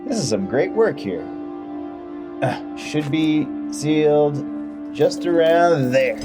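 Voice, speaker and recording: A man's voice: fairly high pitch, slow. A man playing a plucky, folksy dwarf adventurer in a fantasy audio drama. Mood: relieved, eager. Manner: folksy, excitable exclamations. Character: gruff, plucky male dwarf adventurer with an axe. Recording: music underneath.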